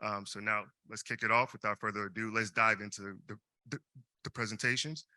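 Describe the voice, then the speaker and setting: A man's voice: lowish voice, fairly even intonation, a little fast, bright, forceful tone, no echo. A young man, a first-year business student, hosting a live case competition and stumbling over repeated words. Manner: hesitant, frequent repeated words. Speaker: student event host. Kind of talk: live event emceeing.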